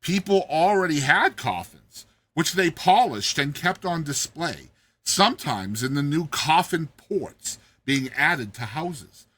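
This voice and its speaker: Guy doing a dramatic audiobook story read, bright punchy voice w a bit of rasp, really theatrical. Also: a little high, takes it slowly. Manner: theatrical, expressive delivery. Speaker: story narrator, show host. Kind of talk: dramatized audiobook reading of a classic satirical story.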